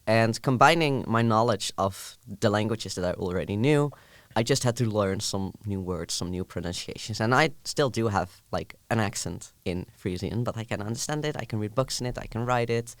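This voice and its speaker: A young Dutch student speaking English as a podcast guest, thoughtful and measured, with frequent pauses. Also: male voice, lowish voice, no room sound. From Netherlands. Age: young adult. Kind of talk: casual chat.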